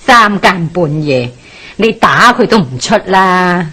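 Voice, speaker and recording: A woman playing a cautious, doubtful elderly widow in a Hong Kong Cantonese radio drama, sighing and cautioning in a husky voice. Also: lowish voice, expressive, slow, pushed and bright, full character voice. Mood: resigned, doubtful.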